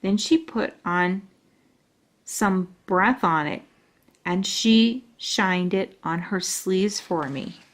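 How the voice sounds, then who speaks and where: Slow, measured woman storyteller. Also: medium pitch. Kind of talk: children's storybook narration.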